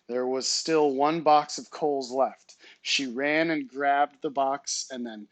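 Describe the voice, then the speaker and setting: A man reading a children's novel aloud like a teacher, with expressive, dramatic storytelling. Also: mid-range voice, takes it slowly, no room sound.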